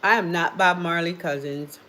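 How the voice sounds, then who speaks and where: A woman's voice: lowish voice, steady tone, moderate pace, bright, forceful tone, slight background noise. A woman with a Jamaican accent, raised in the United States, chatting casually with viewers on a live stream and answering their comments with easy laughter.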